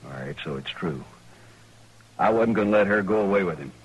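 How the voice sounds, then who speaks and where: An actor playing a surly, jealous rancher villain with gruff rustic talk in a 1950s western radio drama. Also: male voice, low voice, expressive, a little fast. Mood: bitter, defiant. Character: surly, jealous male rancher, a wife killer. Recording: noisy old radio recording.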